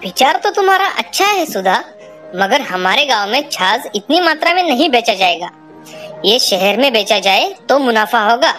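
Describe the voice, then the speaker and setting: A woman's voice: a little high, big pitch swings, moderate pace, a bit bright. A woman voicing an earnest, practical and dutiful young village son in a cartoon moral story. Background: music.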